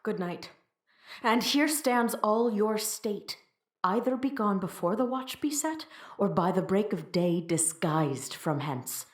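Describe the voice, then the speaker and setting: A woman's voice: medium pitch, expressive, slow pace. Woman playing a stern friar, echoey stage. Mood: firm, counselling. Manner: declamatory, emphatic. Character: stern, fatherly friar scolding and counselling, male role. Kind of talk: classical Shakespearean stage acting. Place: indoor performance space.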